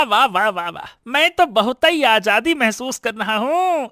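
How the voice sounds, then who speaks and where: A man voicing an excitable, carefree male donkey in an animated moral story, repeating his words as he exclaims. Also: extremely high pitch, dry recording, clean studio sound, heavily acted.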